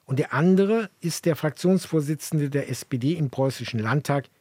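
A man co-hosting a history program, explaining in a measured way. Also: a little high, darkish tone, slightly rough, no room sound, studio quality. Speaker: co-host of a history podcast. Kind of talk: explanatory radio history narration.

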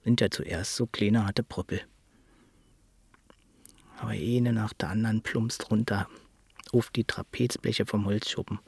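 Village man, husky voice, Brandenburg accent, folksy, repetitive, naturalistic radio drama. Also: fairly low pitch, fairly even intonation, unhurried, long pauses, heavily acted. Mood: wry lament. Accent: Berlin-Brandenburg German. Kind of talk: naturalistic radio play dialogue.

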